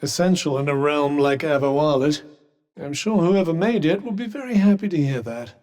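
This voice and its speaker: A man plays a sardonic British magical cuff, a pompous male video game companion. His fairly high voice rises and falls expressively over formal, droll phrasing. A long reverberant tail hangs on every line. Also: lively intonation, normal speed, darkish tone, lightly acted. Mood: dryly encouraging.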